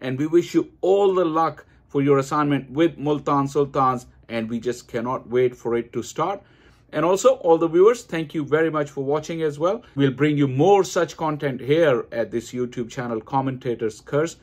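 A man's voice: a little high, normal speed. A middle-aged man hosting an online interview, asking questions in a formal, polite way. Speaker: host. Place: indoors, room with curtains.

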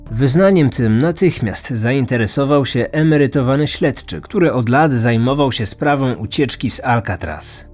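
A man narrating a scripted true-crime podcast as host, in a measured, serious manner. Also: mid-range voice, lively intonation, a little mellow, soft background music.

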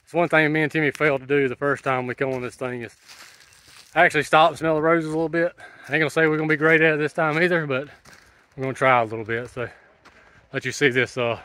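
A man's voice: fairly high pitch, fairly even intonation, quick pace, sharp tone. A man in his thirties with a Southern US accent, chatting casually in a folksy way for a vlog out in the woods. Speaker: motorcycle vlogger. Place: outdoors in woods.

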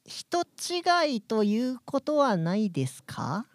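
A man's voice: very high voice, wildly swooping pitch, slow, dry recording, studio quality, heavily acted. A man playing a polite, easily flustered young man as the bewildered straight man in a radio comedy drama.

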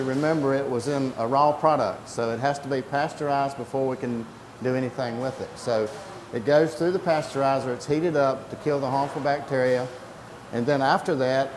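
A man's voice: mid-range voice, normal speed. Middle-aged man in an echoey, noisy room. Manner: plain-spoken, step-by-step. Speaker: creamery worker explaining production. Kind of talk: explanatory interview answers. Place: indoor creamery processing room with steel tanks. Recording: echoey room, loud equipment noise.

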